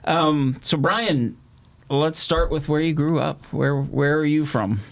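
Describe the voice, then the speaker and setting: A man's voice: on the high side, slow pace, gravelly. A man hosting a podcast interview, reading a guest's bio and asking questions with friendly, joking asides. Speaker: podcast host.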